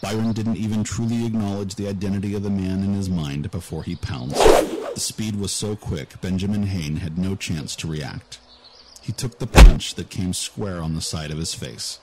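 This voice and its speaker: A man's voice: sits low, normal speed, heavy background noise. A man narrating a scripted fantasy story as an audiobook narrator.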